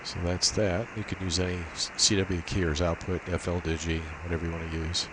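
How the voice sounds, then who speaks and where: A low-pitched man talks through a technical demo in an informal, halting way, as if working it out aloud. The recording carries a clear, steady hiss from a cheap built-in laptop microphone. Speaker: amateur radio operator giving a tech demo. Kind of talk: informal screen demo walkthrough.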